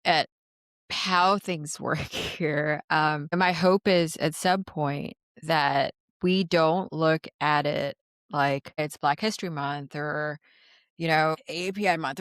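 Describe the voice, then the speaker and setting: A woman's voice: sits low, a bit bright, no room sound, clean studio sound. A woman hosting an interview podcast, talking in a reflective, earnest and hopeful way. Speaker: podcast host. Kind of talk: conversational interview.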